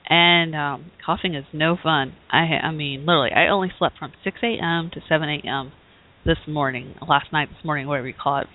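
Hoarse lady host chatting loosely, lots of ums, tinny lo-fi audio. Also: low-pitched, fairly even intonation, brisk. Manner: rambling, with many fillers and restarts. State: hoarse from bronchitis. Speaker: talk show host. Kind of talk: casual spoken update.

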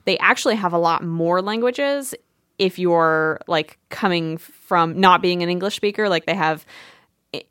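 Clear, lowish-voiced woman, dry sound. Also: a little slow, fairly bright tone, dry recording. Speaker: podcast panelist, games writer. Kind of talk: casual conversational podcast talk.

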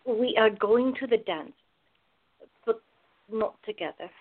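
A woman acting a tender, protective woman in love, quietly insecure, in a radio play. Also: on the high side, unhurried, narrow, tinny audio. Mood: quiet, intimate.

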